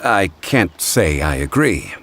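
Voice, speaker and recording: An actor playing a calm, erudite, courteous gentleman in a fantasy video game, speaking in a measured way. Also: sits low, creaky, background noise, full character voice. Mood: composed. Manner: measured, polite, composed. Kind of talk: video game dialogue acting.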